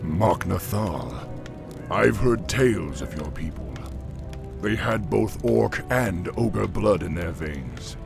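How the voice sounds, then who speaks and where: Orc warchief, low-ish, gravelly, measured, authoritative, game cutscene. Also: male voice, heavily acted. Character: noble orc warchief, calm and commanding.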